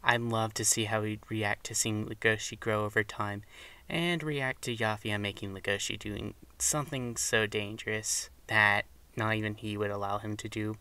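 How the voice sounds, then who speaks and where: A man's voice: fairly low pitch, fairly even intonation, normal speed, bright, forceful tone, smooth. A man giving prepared fan commentary as a guest, recorded on a slightly distant microphone.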